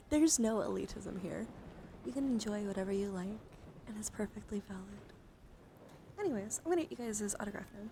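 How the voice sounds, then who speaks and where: A woman hosting an ASMR livestream, chatting softly and often slipping into a whisper with careful, deliberate enunciation. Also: medium pitch, lively intonation, gravelly. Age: adult, over 18. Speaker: streamer host. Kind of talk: soft ASMR livestream chat. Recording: noticeable background noise.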